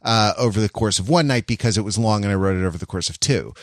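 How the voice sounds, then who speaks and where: A man's voice: fairly low pitch, dry recording. Man in dry, close-sounding podcast chat. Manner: halting, self-interrupting, wryly humorous. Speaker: podcast co-host. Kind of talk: casual conversational podcast chat.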